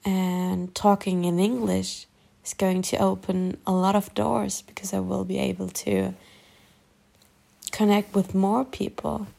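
A young woman with a German accent, German her first language, musing softly and partly whispering while lying in bed at night for her vlog. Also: mid-range voice, flat delivery, measured pace, vocal fry. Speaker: YouTuber. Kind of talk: late-night personal vlog.

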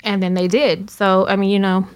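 A woman's voice: medium pitch, level tone, moderate pace, no room sound. A middle-aged woman, co-hosting a podcast in frank, profane casual chat with lots of fillers. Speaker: podcast co-host.

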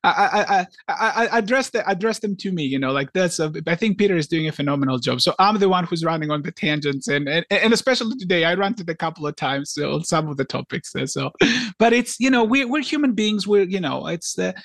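A man from Greece co-hosting a podcast in casual chat, talking haltingly with many restarts and fillers. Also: high voice, animated, fairly bright tone, dry recording, clean studio sound. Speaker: podcast co-host.

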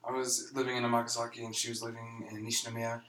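A man's voice: mid-range voice, monotone, fairly bright tone, a little hiss or hum. Laid-back young man, echoey room. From Seattle, USA. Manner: laid-back, dry, halting. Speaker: guest.

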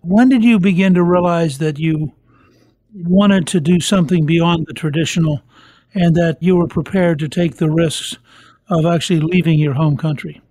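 An older man hosting a podcast, introducing his guest in a measured, formal way and asking her questions. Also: on the high side, fairly even intonation, a little mellow, no room sound. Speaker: podcast host.

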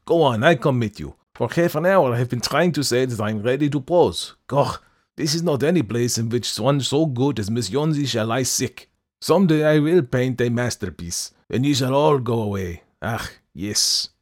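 A man voicing a fierce old painter with a put-on German accent, shouting in broken English. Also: mid-range voice, expressive, normal speed, pitched above the performer's own voice, lightly acted. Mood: blustering. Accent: German-sounding put-on.

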